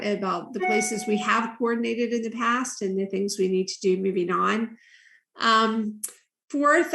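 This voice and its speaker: A woman speaking as a panel guest, giving prepared remarks in a measured, speechlike way. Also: mid-range voice, gravelly. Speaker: nonprofit CEO, panel guest. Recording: roomy echo.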